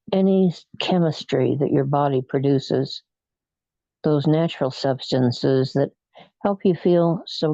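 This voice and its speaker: A person leading a guided meditation in a soothing, unhurried, hypnotic manner with frequent pauses. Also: medium pitch, warm tone, dry recording, lo-fi sound. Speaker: guided imagery meditation leader.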